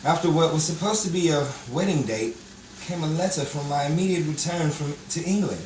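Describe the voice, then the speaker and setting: An actor as an echoey, earnest romantic hero. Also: male voice, on the high side, brisk, darkish tone, a little hiss or hum, close to an everyday voice. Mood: wistful. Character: wistful romantic hero recalling his lost love. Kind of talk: nostalgic radio drama narration and acting. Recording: roomy, distant live stage recording, crowd.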